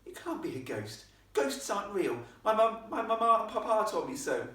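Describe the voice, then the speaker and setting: A man's voice: high-pitched, sing-song, moderate pace, a bit bright, pitched above the performer's own voice. A man voicing a posh, well-spoken young upper-class girl, indignant and defiant, in a storybook reading. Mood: protesting. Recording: echoey room, distant microphone.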